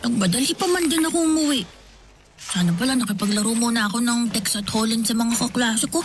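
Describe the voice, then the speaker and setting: A woman's voice: on the high side, fairly quick, background noise, close to an everyday voice. A woman voicing a shy, polite teenage boy in a radio drama, bashful and eager as he waits by the phone. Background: music.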